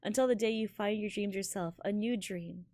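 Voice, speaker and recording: A woman streaming a visual novel, reading it aloud in a halting, giggly way with stumbles over words. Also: mid-range voice, warm tone, no echo. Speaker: game streamer.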